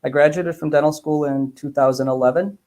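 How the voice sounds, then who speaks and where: A man in his thirties giving measured, casual interview answers with hesitant pauses. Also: on the high side, steady tone, darkish tone. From Bosnia, grew up in Germany and the United States. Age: 30s. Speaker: guest, dentist.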